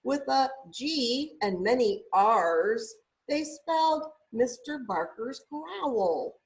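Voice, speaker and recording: A woman reading a children's story aloud expressively, with deliberate, drawn-out emphasis for young listeners. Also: a little high, expressive, low-quality recording. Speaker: storybook reader.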